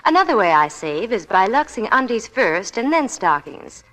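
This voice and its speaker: A woman's voice: mid-range voice, sing-song, moderate pace, a bit bright, poor audio. A woman reporter giving gushing, scripted 1940s radio advertising chat. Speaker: Hollywood reporter and product pitchwoman.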